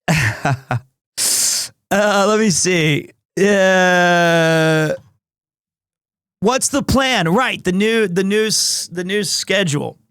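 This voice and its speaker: A streamer in his thirties with a big, loud voice that sits high for a man. He talks at an easy, drawn-out pace, restarting sentences as he chats with viewers from his home stream room, and the sound is dry and close. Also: dry recording. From United States. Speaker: livestreamer. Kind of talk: casual chat with stream viewers.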